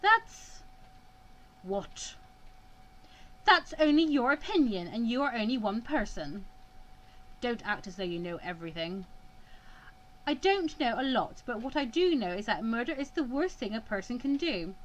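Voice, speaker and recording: Woman acting a high-pitched, distraught young female student. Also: very animated, unhurried, pauses often, highs cut off, slight background noise. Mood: indignant. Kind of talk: voiced reading of visual novel dialogue.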